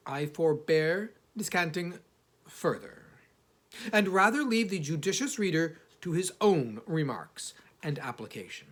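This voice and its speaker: A man narrating an old first-person literary text as an audiobook reader, in a measured, formal, oratorical way with emphatic phrasing. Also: high voice, a bit bright. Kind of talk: scripted narration of an 18th-century travel satire in first person.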